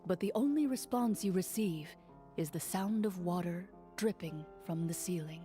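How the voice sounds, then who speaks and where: A woman voicing a poised game master who narrates a courtroom scene in a measured, formal, dryly stern way, in a video game. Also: fairly low pitch, mellow, close to an everyday voice. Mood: narrating. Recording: game audio with clear music underneath.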